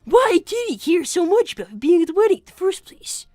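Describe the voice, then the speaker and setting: A woman's voice: very high-pitched, sing-song, a little fast, warm tone, dry recording, clean studio sound, soft background music. A woman voicing an anxious, earnest young boy fox who stammers defensively on a character livestream. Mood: flustered, defensive. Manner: stammering, flustered. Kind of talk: improvised character livestream with donation reading.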